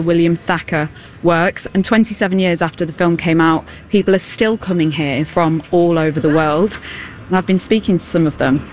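A woman's voice: lowish voice, slight background noise. A woman working as a field reporter, talking to people on a city street.

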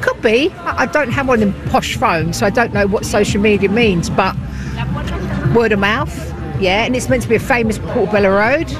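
A woman's voice: mid-range voice, moderate pace, over music. An older woman who works as a greengrocer, speaking bluntly and with resignation. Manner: blunt, plain-spoken and resigned. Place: outdoors at a street market.

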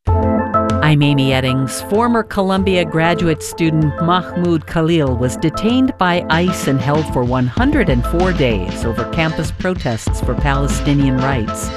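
Low-voiced woman reading a news promo. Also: animated, unhurried, music in the background. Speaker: radio news host.

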